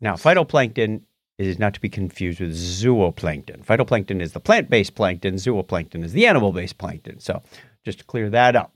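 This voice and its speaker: Animated man hosting a casual podcast. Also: on the low side, moderate pace, no echo, studio quality. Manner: wry, jokey explainer. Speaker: podcast host. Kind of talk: casual news chat with banter.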